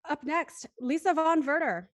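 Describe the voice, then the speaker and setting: A woman's voice: high voice, expressive, measured pace, no room sound, studio quality. A woman hosting a live streamed play reading, speaking in articulate, polite and rather formal phrases. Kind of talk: scripted recap and live show hosting.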